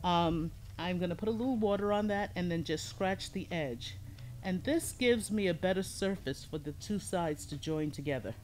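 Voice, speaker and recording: A middle-aged woman with a low voice teaching a hands-on pottery lesson in a studio, explaining each step calmly as she works. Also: moderate pace, low-quality recording. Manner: calm, explains step by step while working with hands. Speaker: ceramics teacher.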